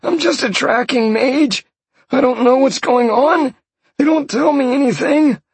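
A man's voice: a little slow, slightly rough, no room sound, heavily acted. A man doing a panicked, pleading beaten mage. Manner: high, breathless, babbling pleas.

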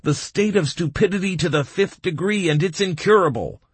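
A man voicing a brash, blunt gamer sidekick in an audiobook, loud and teasing. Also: medium pitch, wildly swooping pitch, measured pace, gravelly, slightly rough, no room sound, voice pushed up, exaggerated voice. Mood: exasperated, mocking.

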